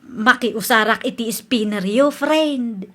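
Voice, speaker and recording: A defiant Ilocano housewife in a radio comedy. Her voice sits very high and cuts through brightly. She sounds sassy and ready to argue back at anyone. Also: slow pace, full character voice. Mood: breezy. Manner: sassy, argumentative. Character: brash, defiant housewife who borrows without asking. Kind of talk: Filipino radio comedy drama acting.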